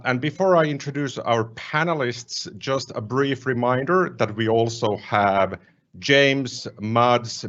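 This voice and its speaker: Low-voiced man moderating a panel. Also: fairly bright tone. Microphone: video call. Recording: muffled video-call audio.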